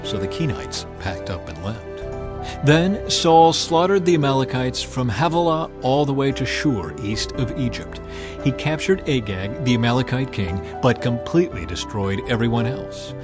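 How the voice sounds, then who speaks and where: A man narrating scripture in a measured, solemn manner, his voice sitting at an ordinary middle pitch. Soft music plays quietly underneath him. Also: animated.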